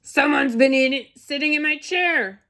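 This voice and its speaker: A woman voicing a huge, indignant Papa Bear in a storybook, loud and emphatic. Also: sits high, normal speed, pitched above the performer's own voice.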